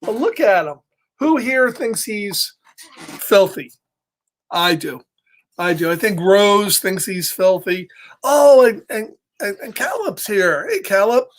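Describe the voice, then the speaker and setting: A man's voice: sits high, big pitch swings, slow pace. Playful man reading aloud to children. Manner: playful, chatty, breaks off to greet viewers. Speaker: children's storyteller. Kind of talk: casual interactive read-aloud of a picture book.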